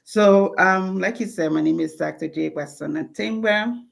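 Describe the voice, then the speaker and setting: A woman's voice: on the low side, moderate pace, creaky. A woman from Liberia, telling her life story as an interview guest. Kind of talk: conversational interview.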